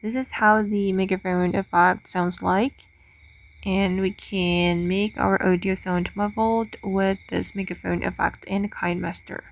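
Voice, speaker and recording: A woman hosting a spoken software tutorial, explaining things simply, one step at a time. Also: medium pitch, level tone, a little slow, creaky. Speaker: tutorial host.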